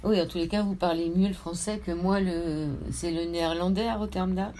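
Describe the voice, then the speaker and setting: A woman hosting a radio interview, introducing her guest in a measured way and spelling out names. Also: on the low side, fairly even intonation, warm tone, slight background noise. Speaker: radio host.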